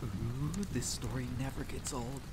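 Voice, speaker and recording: A man's voice: medium pitch, fairly even intonation, unhurried, background noise, close to an everyday voice. A man playing a sentimental, chatty monster hunter in an audio roleplay, playful and self-deprecating. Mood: sentimental, awed. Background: faint rain, campfire ambience.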